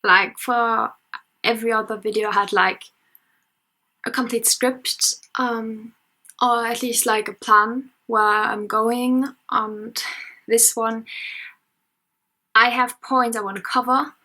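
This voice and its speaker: A woman's voice: a little high, level tone, takes it slowly, long pauses, pushed and bright. A nineteen-year-old woman with a German accent, a student talking through an unscripted vlog in a chatty, self-conscious way with many fillers. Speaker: history and sociology student. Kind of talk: unscripted casual vlog commentary. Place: her parents' house at night.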